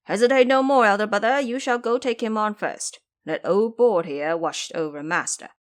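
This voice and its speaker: A woman's voice: medium pitch, moderate pace, close to an everyday voice. A woman playing a blustering male boar monk and old warrior in an audio drama, blunt and self-important.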